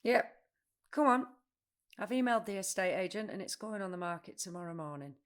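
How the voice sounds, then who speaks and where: A woman playing a brusque, socially awkward, overwhelmed woman in a naturalistic audio play, speaking bluntly in halting, repeated phrases. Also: medium pitch, animated, lightly acted. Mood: curt, evasive. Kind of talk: naturalistic audio drama acting.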